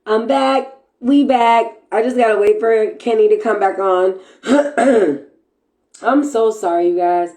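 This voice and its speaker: A woman with a heavy, raspy voice and a congested throat, hosting a casual live podcast chat, chatty and full of "like" and "um", often clearing her throat. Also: on the high side, takes it slowly, warm tone. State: congested from allergies. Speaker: podcast host. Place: at home in Virginia.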